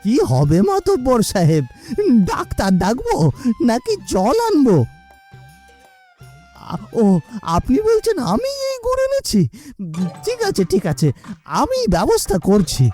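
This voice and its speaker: A woman acts a nervous, deferential villager in a cartoon. The voice is fairly high and swings wildly, delivered slowly with stammers and anxious questioning. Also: wildly swooping pitch, mellow, soft background music, heavily acted. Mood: alarmed. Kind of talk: cartoon voice acting.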